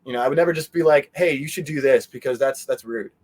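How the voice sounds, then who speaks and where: A man with a mid-pitched voice speaks as a guest in a casual interview. He rambles in an animated way, often breaking into little quoted asides as he explains himself. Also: quick pace, a crowd in the background.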